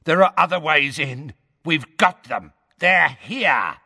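A man voicing a hard, confident male dark wizard henchman with a commanding manner, in a fantasy audiobook. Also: fairly high pitch, expressive, slow, breathy edge, big-room sound, voice pushed up, exaggerated voice. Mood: menacing.

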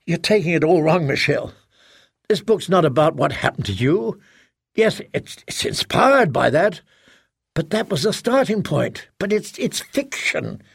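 Male reader as halting, defensive middle-aged father; gravelly, fairly high voice. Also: big pitch swings, dry recording, studio quality, exaggerated voice. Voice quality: hesitant, halting. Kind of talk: audiobook character acting.

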